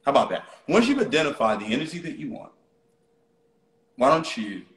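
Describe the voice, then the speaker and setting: A man's voice: medium pitch, very animated, brisk. A man hosting a live online motivational talk, repeating key phrases, asking rhetorical questions and checking in with his listeners often. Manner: repetitive, rhetorical questions, frequent "all right?" check-ins. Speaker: motivational speaker, live stream host. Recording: roomy, echoey sound.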